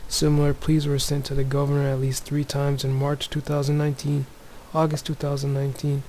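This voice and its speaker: Soft, low-voiced man. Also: completely flat delivery, fairly quick, a little hiss or hum. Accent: Toronto. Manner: speaks softly, many restarts and fillers. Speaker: religious video maker. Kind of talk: casual religious commentary, reading news aloud. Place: home room.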